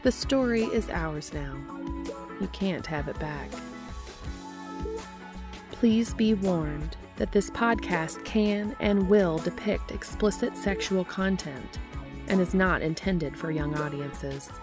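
A woman's voice: fairly low pitch, takes it slowly, over music. A woman hosting and narrating fan fiction in a scripted read-aloud style. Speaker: podcast host and fan fiction reader.

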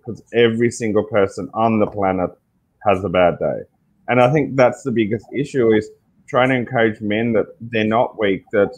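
A man's voice: fairly low pitch, low-quality recording. A man with an Australian accent speaking earnestly as an interview guest, repeating key phrases for emphasis. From Tasmania, Australia. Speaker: interview guest, mental health advocate.

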